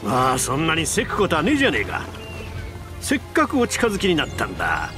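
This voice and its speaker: An actor playing a burly, brash, friendly warrior in a video game, with rough, boisterous colloquial speech. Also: male voice, on the high side, wildly swooping pitch, fairly quick, music in the background. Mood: hearty, brash. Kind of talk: video game cutscene voice acting.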